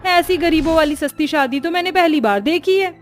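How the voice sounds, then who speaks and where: A woman's voice: very high-pitched, expressive, fairly quick, soft background music, voice pushed up. A woman voicing a rich middle-aged mother-in-law in a cartoon story, bossy and disapproving. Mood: resentful. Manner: complaining, bossy. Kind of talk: Hindi cartoon story acting.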